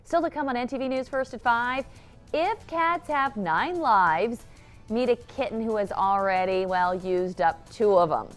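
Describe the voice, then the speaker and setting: A woman's voice: fairly high pitch, measured pace, faint music behind. A woman anchoring a TV newscast, reading scripted stories and teases. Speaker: TV news anchor. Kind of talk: scripted news presenting.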